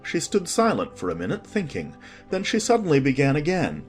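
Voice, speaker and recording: A narrator reading a children's storybook in an expressive, scripted storytelling manner. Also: mid-range voice, a little fast, soft background music. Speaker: audiobook narrator.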